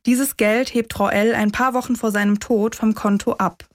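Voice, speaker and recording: A woman's voice: fairly high pitch, fairly even intonation, normal speed. A woman reading scripted true-crime narration as a podcast host.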